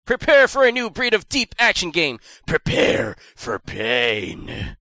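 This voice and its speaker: A man's voice: sits high, wildly swooping pitch, slow pace, sharp tone, no echo. A young man reading game box copy in an overdramatic movie-trailer voice, hamming it up. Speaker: co-host.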